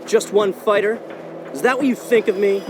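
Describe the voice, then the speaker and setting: An actor playing a cocky, hot-headed young martial arts fighter in a dubbed game cutscene, brash and slangy. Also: male voice, high voice, normal speed, background noise, natural voice. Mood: cocky, boastful. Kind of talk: English dub of video game cutscene. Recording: loud music behind.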